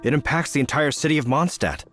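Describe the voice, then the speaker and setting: An actor playing a courteous, earnest knight officer in a video game, speaking formally and politely. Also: male voice, fairly high pitch, fast, a bit bright, faint music behind, close to an everyday voice. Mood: grave.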